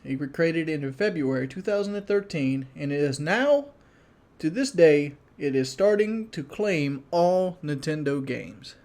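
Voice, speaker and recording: A man giving casual, rambling game commentary as a YouTuber, blunt and monotone, with frequent restarts. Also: a little high, unhurried. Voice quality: self-described monotone.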